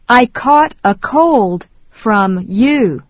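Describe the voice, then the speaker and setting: A woman slowly reading English phrases one at a time in a language drill, with deliberate enunciation. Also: on the high side, animated, no room sound. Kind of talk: slow repeat-after-me English drill. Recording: dry, dull lo-fi studio read.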